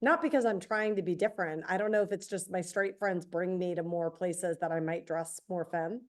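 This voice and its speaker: A woman in her forties co-hosting a podcast, hoarse today, chatting casually with quick self-deprecating asides. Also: on the low side. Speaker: podcast co-host. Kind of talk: casual chat.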